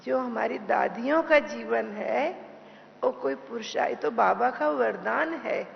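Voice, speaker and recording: Woman, spiritual teacher, heavily gravelly voice, devotional discourse, deliberate repetition, muffled reverberant hall recording with hum and noise. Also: fairly high pitch. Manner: deliberate, with pauses and repeated phrases. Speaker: spiritual teacher giving a class. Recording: dull, noisy, humming hall recording.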